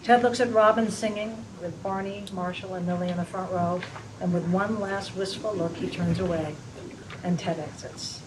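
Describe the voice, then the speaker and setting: A woman reading stage directions aloud in a brisk, matter-of-fact way. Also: on the low side, steady tone. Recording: distant over loud crowd and noise.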